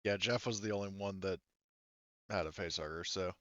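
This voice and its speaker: A man casually improvising narration and dialogue as a tabletop roleplaying player, with measured, hesitant phrasing. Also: deep voice, no room sound, muffled recording.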